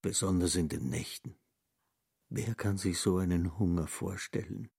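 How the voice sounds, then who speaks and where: A man performing a radio play monologue in a brooding, deliberate manner. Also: low-pitched, vocal fry, warm tone, slightly rough, heavily acted.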